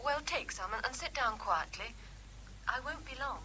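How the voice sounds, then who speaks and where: An actress playing a patient, solicitous young woman, gently looking after her partner. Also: sits high, lively intonation, moderate pace, slight background noise, poor audio. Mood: caring, concerned. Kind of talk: radio drama dialogue.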